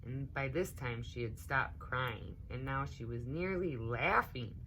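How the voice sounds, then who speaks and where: A woman's voice: rumbling low, a little slow, fairly bright tone, rough recording. A woman teaching assistant doing a storybook read-aloud, talking directly to her child listeners. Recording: noticeable background noise.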